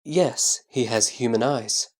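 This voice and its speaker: A man playing a reverent disciple who answers his master calmly and formally in a Buddhist scripture audio drama. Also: mid-range voice, takes it slowly. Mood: reverent agreement. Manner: respectful, calm, careful formal answers.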